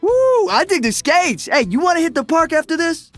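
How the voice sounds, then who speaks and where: A man's voice: extremely high pitch, big pitch swings, moderate pace, a bit bright, faint music behind, heavily acted. An actor voicing an upbeat, cocky young male DJ hero in a video game, breezy, exuberant and slangy. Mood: upbeat, friendly. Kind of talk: video game character voice lines.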